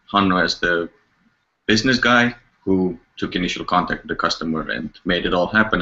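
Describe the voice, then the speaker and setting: A man's voice: sits low, bright, forceful tone, highs cut off. A young man, speaking informally as a developer. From Romania. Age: young adult. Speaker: back-end developer. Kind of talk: informal self-introduction and remarks.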